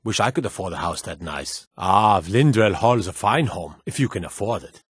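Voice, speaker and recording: Gruff, low-voiced fantasy city guard. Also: male voice, lively intonation, normal speed, no room sound, studio quality. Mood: plain, matter-of-fact. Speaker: video game guard character. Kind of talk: video game character dialogue.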